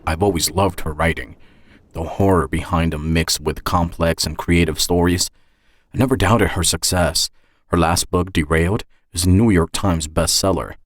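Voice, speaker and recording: A man's voice: low voice, fairly even intonation, normal speed, no echo, clean studio sound. A man reading a scripted first-person dramatic story as its author and narrator. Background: music.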